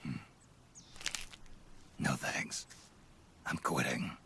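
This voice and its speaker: A man playing a weary, dying old soldier in a video game cutscene, stoic and resigned, with a gravelly, whispered growl. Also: very deep voice, fairly even intonation, extremely slow, pushed and bright, exaggerated voice. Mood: resigned, resolute.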